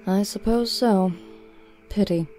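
A woman voicing a gruff, watchful male dog familiar in a storybook reading, terse and deliberate. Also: mid-range voice, warm tone, faint music behind, voice pushed up, lightly acted, low-quality recording. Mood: watchful, matter-of-fact.

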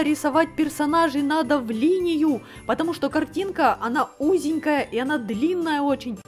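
A woman hosting a fan channel in a playful, chatty way, teasing and switching voices quickly. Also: high voice, darkish tone. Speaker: channel host.

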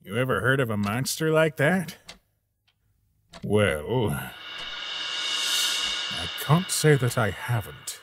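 A man voicing a laid-back, calm skeleton with a casual, dry manner in a comic dub. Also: mid-range voice, takes it slowly, long pauses, over music. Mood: musing.

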